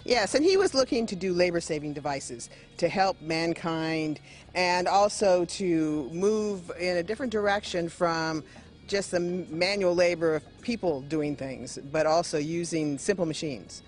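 A woman's voice: fairly low pitch, unhurried, faint music behind. A middle-aged woman museum guide answering a TV reporter's questions in a friendly, explanatory way inside a large hall. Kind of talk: interview answers. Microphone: reporter's handheld mic.

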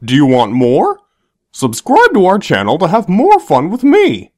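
Woman voicing a slow-talking cute cartoon hamster. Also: on the low side, wildly swooping pitch, dark tone, heavily acted. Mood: playful, eager. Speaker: children's channel mascot. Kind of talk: children's channel promo.

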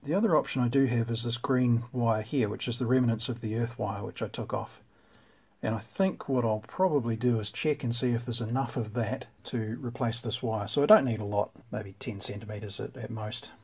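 A man's voice: mid-range voice, normal speed, darkish tone. A man with a New Zealand accent giving a casual, conversational explanation at a workbench as a radio repairer, thinking aloud. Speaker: vintage radio repairer presenting. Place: indoors at a workbench. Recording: clear background noise with clicks.

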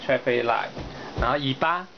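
A man's voice: medium pitch, moderate pace, over loud music. A man, a parent giving a young child short, repeated prompts in casual vocabulary practice at home. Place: small bedroom at home. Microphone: handheld camera's built-in microphone. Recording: dull, noisy home camera audio.

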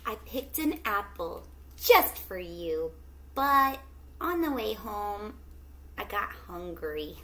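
A middle-aged woman teacher reading a picture book aloud to children, with expressive, drawn-out storytelling and questions to the young listeners. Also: medium pitch, low-quality recording. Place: small indoor room at home with plants.

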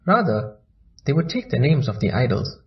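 Guy reading a storybook for kids, voice rises and falls a lot, kinda muffled. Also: mid-range voice. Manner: slow, lively storybook reading. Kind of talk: scripted children's story narration.

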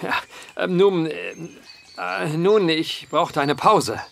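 An actor playing a wry, polite journalist who poses as a farmhand, speaking eloquently in a German audio drama. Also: male voice, on the high side, big pitch swings, takes it slowly, creaky, slight background noise, full character voice. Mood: sheepish. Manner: eloquent, dryly ironic, polite. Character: wry truth-seeking journalist posing as clumsy farmhand.

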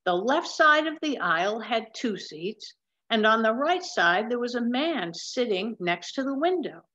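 An older woman reading a picture book aloud in a measured, explanatory manner, recorded on a webcam at home. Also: on the high side, a bit bright. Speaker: storybook reader. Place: large wood-ceilinged room at home. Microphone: webcam or laptop mic at desk distance. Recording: slightly dull webcam sound.

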